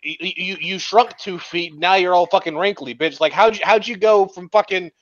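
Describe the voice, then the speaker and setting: A man's voice: high-pitched, moderate pace, a bit bright, lo-fi sound. A man in his late twenties, swearing and rambling in casual podcast chat. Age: 20s. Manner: crude, swears a lot, rambling. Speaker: podcast co-host.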